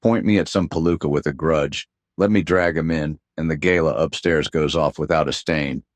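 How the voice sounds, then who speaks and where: A hard-boiled detective with an extremely low voice, recorded dry and close. He is world-weary and wry, narrating a 1930s noir radio play in deadpan. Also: normal speed, no room sound. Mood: cold, confronting.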